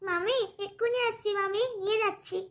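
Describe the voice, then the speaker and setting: A performer voicing a sad, meek young orphan girl in a cartoon story, with a squeaky, childlike and plaintive delivery. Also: steady tone, brisk, background noise. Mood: meek, upset. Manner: plaintive, submissive.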